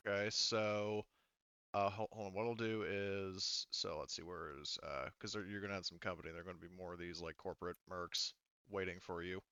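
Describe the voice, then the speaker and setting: A man's voice: sits low, level tone, fairly quick, pushed and bright, clean tone, no echo, dull audio. A man acting as game master of a tabletop sci-fi roleplaying game, improvising and thinking aloud in a casual way.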